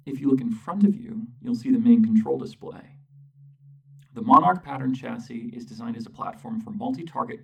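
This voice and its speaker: A smug machine intelligence captain acted by a performer lords it over a subordinate in soft ASMR roleplay. The voice is creaky and breathy, sitting a little away from the mic, with clipped orders and deliberate, superior pauses. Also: mid-range voice, distant mic, slight background noise. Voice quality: breathy, often dropping to a whisper. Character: condescending newborn AI mech co-pilot captain, bossy, smug.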